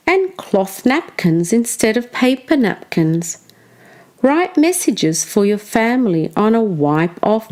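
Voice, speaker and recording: A woman reading a children's storybook aloud like a teacher, with expressive, deliberate phrasing for young listeners. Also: mid-range voice.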